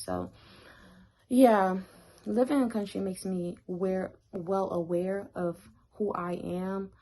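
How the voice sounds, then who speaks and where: Low, gravelly-voiced young woman from Haiti. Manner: reflective, many fillers and restarts. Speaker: student. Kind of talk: personal testimony to camera.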